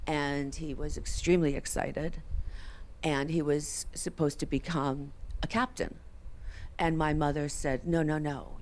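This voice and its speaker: A woman's voice: sits low, darkish tone. A woman from New Jersey, a guest on a talk show, earnestly telling stories about her parents. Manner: earnest, affirming, brief yes answers. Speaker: featured guest. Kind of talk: interview, family storytelling.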